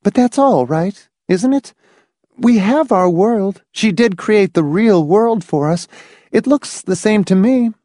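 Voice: medium pitch, animated, slow, mellow, dry recording, clean studio sound, voice pushed up. A narrator voicing an anxious, pleading wife in an audiobook, with shaky, insistent questioning.

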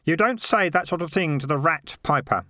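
A man's voice: fairly high pitch, expressive, moderate pace, bright, forceful tone, no echo, clean studio sound, voice pushed up. A man voicing an earnest, worried and slightly hesitant boy in a storybook.

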